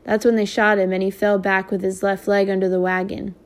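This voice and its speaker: A woman's voice: mid-range voice, little pitch movement, a little fast, close to an everyday voice. A woman voicing a shaken teenage boy who tells of an attack in rural Southern dialect speech.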